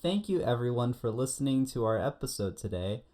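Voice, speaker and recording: A male podcast co-host with a voice of middling pitch. He talks casually about anime and tells stories in an animated, conversational way. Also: a little fast.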